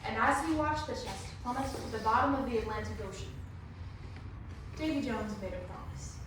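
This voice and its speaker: A woman's voice: a little high, fairly quick. A woman performing dramatic scripted storytelling as a readers theatre performer. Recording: very echoey room, distant mic, noisy.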